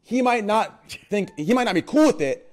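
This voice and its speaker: Passionate deep-voiced young man. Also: animated, moderate pace, darkish tone. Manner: passionate, insistent, repeats "you understand?". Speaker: podcast host. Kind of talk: heated casual debate.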